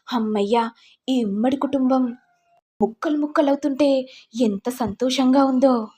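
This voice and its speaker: A woman's voice: high-pitched, a little slow, dark tone, slightly rough. A woman voicing a scheming young daughter-in-law in a family story, gloating and falsely sweet. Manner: gloating, mock-concerned.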